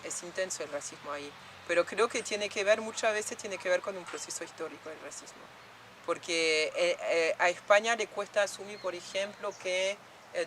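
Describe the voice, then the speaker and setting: A woman's voice: lowish voice, a bit bright, slight background noise. A woman from France in an interview, speaking reflectively with many fillers and restarts. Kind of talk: documentary interview.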